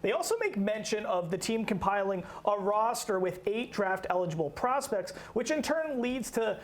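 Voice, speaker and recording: A woman's voice: medium pitch, lively intonation, moderate pace. A woman presenting a sports talk show as host, introducing segments and putting questions to her colleagues.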